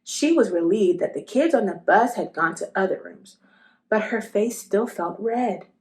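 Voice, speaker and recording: A woman narrating a children's storybook aloud in an expressive style. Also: mid-range voice, measured pace. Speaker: storybook reader.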